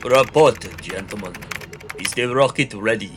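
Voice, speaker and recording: A man's voice: on the low side, measured pace, noisy background, close to an everyday voice. A man acting a merciless German wartime general in a fan audio drama, commanding and impatient. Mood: stern impatience. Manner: menacing, commanding, clipped impatience.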